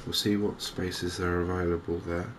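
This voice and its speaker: A man with an English accent giving soft, gentle, unhurried cozy ASMR commentary on a video game as the channel host. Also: low-pitched, level tone, noisy background. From England.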